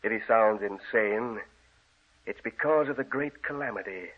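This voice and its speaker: An actor playing a grave, courteous gentleman in an old-time radio drama, speaking in a formal, measured, solicitous manner. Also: on the low side, husky. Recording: tinny, band-limited old radio recording.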